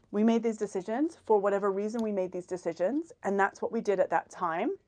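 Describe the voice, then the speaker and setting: A woman hosting a personal finance live stream, talking in a rambling casual monologue with many fillers and repeated words, a little run down. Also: medium pitch. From grew up in Hong Kong, Canada, Australia and New Zealand.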